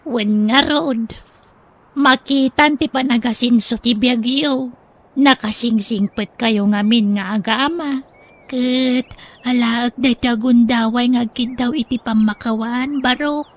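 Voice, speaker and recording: Plain-spoken older Ilocano countrywoman for radio drama; emotional, very high voice. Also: steady tone, measured pace. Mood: contrite.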